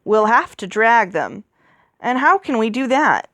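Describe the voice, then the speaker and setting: Gloomy storybook knight, played by a woman reader: complaining, melancholy, animated pitch, dry sound. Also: unhurried, no echo. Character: gloomy, grumbling male knight.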